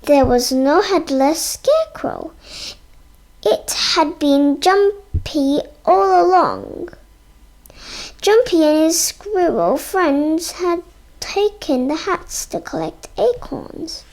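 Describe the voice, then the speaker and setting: A female reader narrating a children's storybook aloud, haltingly, stumbling over words and sounding them out. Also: extremely high pitch, lively intonation, a little mellow.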